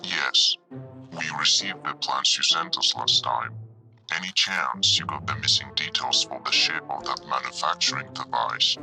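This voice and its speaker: An actor playing a secretive, businesslike handler who speaks in measured, cryptic lines in a sci-fi audio drama. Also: a bass voice, gravelly, bright, forceful tone, soft background music, heavily acted, poor audio. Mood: cool, businesslike.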